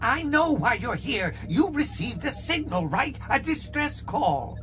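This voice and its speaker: A man voicing a scheming, greedy male alien barkeeper with a high, wheedling voice in an audiobook, music behind him. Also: big pitch swings, a little slow, a bit bright, heavily acted. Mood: pleading. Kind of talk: audiobook character voice.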